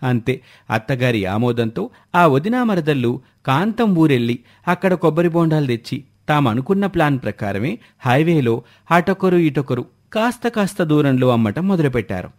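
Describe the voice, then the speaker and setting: A man's voice: on the high side, big pitch swings, normal speed. A man reading scripted story narration as a storyteller.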